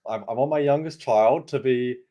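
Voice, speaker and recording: A man's voice: mid-range voice, moderate pace, warm tone. Upbeat New Zealand man explaining crypto. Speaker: guest analyst. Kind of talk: casual explanatory interview.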